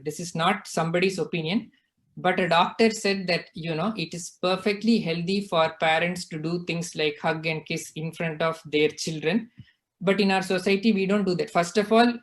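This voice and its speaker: A man's voice: high voice, a bit bright. Earnest father explaining. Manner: earnest, explanatory, often checks in with "right?". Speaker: guest speaker. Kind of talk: casual discussion on a video call.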